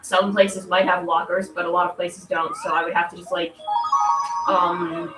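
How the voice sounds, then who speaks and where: A woman's voice: lowish voice, completely flat delivery, normal speed. A congested woman streamer chatting casually while gaming, in a rambling, informal way full of "like". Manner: rambling, informal, filler-heavy "like". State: congested from allergies. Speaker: game streamer. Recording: echoey room, distant mic, loud music. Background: loud video game music.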